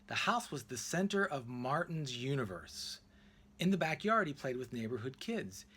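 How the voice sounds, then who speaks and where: Lively male storybook narrator. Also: medium pitch, moderate pace, husky. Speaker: read-aloud narrator. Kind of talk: expressive children's picture book read-aloud.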